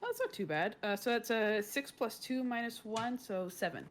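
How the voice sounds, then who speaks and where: A woman's voice: fairly high pitch, animated, moderate pace. A woman playing a tabletop game, talking through her character's moves in a casual, hesitant, wry, rambling way. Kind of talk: casual improvised role-play chat. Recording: some background noise.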